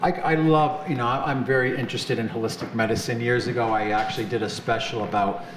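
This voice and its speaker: A male host chatting in a relaxed, rambling way about holistic topics, easily getting excited. His voice sits at an ordinary pitch and carries a roomy echo with a faint hiss of ambience behind it. The recording has the feel of a small studio. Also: fast. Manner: enthusiastic, upbeat, rambling with fillers. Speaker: show host. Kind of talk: casual interview chat.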